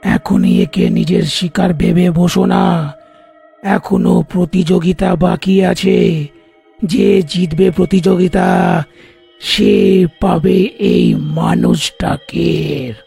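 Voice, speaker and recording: A hungry cartoon ghost, with an extremely gravelly and raspy voice. It grumbles slowly and possessively about prey, pitched high over soft music. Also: male voice, animated, full character voice. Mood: possessive, warning. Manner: slow, grumbling, complaining.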